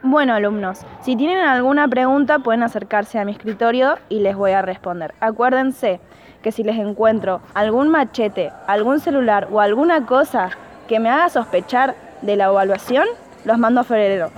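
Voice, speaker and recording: A woman with an Argentine accent playing a stern, ill-humoured high school teacher in an amateur radio play, addressing the class formally. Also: normal speed, lightly acted. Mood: stern warning. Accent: Argentine Spanish. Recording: noisy amateur recording.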